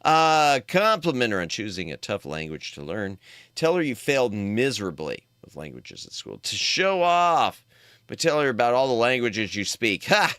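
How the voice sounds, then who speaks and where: A man commentating on a game live for his chat, joking and animated. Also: mid-range voice, moderate pace, clear, resonant tone, dry recording. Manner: jokey, animated, talks to chat. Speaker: streamer.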